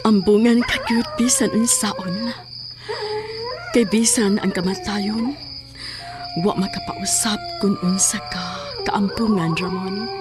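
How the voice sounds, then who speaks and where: Sorceress for horror radio play, female actor: very slow, incantatory, calling up the dead, under clear music. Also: mid-range voice, big pitch swings. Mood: tender, morbid. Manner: slow, incantatory, rising to cries. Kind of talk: horror audio drama acting. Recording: loud music behind the voice.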